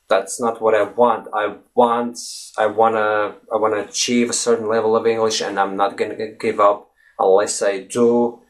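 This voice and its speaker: A young man teaches English in a casual to-camera video, his first language Russian. He talks earnestly and animatedly, stumbling and restarting often with fillers. His voice sits on the low side. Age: young adult. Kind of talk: unscripted video lesson to camera. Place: indoors in front of a green screen.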